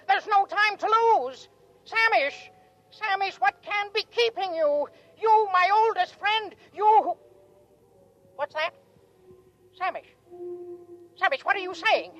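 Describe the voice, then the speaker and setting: A woman's voice: very high voice, a bit bright. A woman acting a scheming alien creature in a 1950s radio drama, theatrical and grandiose in manner. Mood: desperate, pleading. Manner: theatrical, grandiose, florid phrasing. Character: scheming alien creature, smug schemer turned desperate pleader. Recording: old radio recording with faint music.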